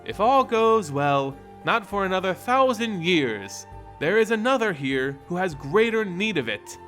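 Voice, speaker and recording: Man voicing a solemn, slow witch. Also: high-pitched, very animated, fairly bright tone, faint music behind, exaggerated voice. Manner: measured, portentous. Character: solemn, cryptic witch woman.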